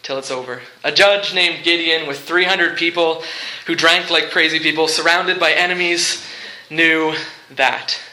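A man preaching a sermon to a room, speaking in short, deliberate phrases with rhetorical repetition and some humour. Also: a little high, steady tone, pushed and bright. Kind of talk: sermon with movie illustrations and humour. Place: live talk in a large room before listeners. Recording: echoey room.

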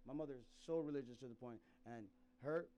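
A man's voice: mid-range voice, quick pace, dark tone. A man from South Sudan telling a rambling personal story in casual chat, laughing often and restarting his sentences.

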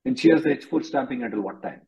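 A man's voice: medium pitch, lively intonation, fast, warm tone, slightly rough. A man working as an immigration attorney, bluntly advising callers on a conference call and repeating himself for emphasis. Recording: dull lo-fi conference call audio.

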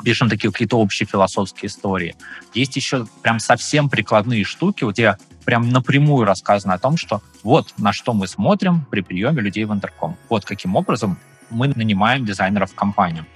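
A man's voice: lowish voice, expressive, a little fast, breathy edge, soft background music. A man giving an informal, explanatory talk as a designer, conversational and sprinkling in English design jargon.

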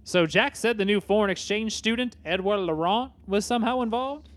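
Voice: mid-range voice, brisk, soft background music, lightly acted. A performer voicing a level-headed teenage boy sidekick in a comedy sketch, dry and patient.

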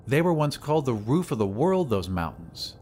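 A man's voice: mid-range voice, big pitch swings, moderate pace, darkish tone, a little hiss or hum, natural voice. Man voicing a polite, eager woman airship attendant. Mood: wistful. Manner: anxious to please, polite.

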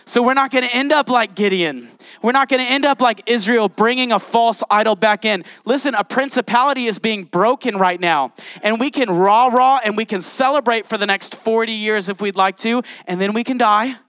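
A man's voice: very high voice, normal speed, hardly any pauses, a bit bright, no room sound. A man preaching a sermon, reading scripture and speaking passionately straight to his congregation. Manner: passionate, addresses congregation directly. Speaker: pastor.

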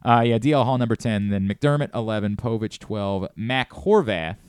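A smooth, clear male sports radio host recorded close and dry. He talks through his analysis casually, rephrasing and stressing his points as he goes. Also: on the low side, moderate pace, a little mellow, dry recording. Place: radio studio.

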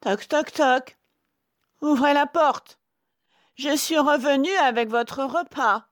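A woman voicing a sly male storybook wolf who fakes a sweet, soft, motherly voice. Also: slow pace, no room sound, studio quality. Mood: falsely sweet. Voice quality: soft, high, sugary.

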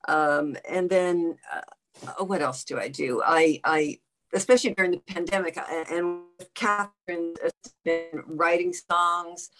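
A woman's voice: lowish voice, fairly even intonation, unhurried, fairly bright tone, faint music behind. A woman in her sixties from the South Bay of Los Angeles introduces herself on a group video call in a rambling way, often repeating and restarting words. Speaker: bluegrass musician and guest.

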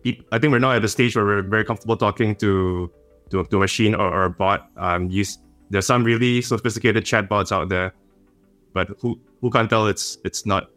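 A man's voice: fairly low pitch, steady tone, fairly quick, fairly bright tone, no room sound, soft background music. A man speaking as a panelist in a panel discussion, hesitant, with many fillers and repeated words.

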